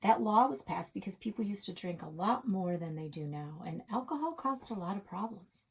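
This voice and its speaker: A woman's voice: mid-range voice, expressive, moderate pace, gravelly, breathy edge. A woman voicing a bookish, boyishly enthusiastic father and history buff from a children's novel, eager to explain. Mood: curious.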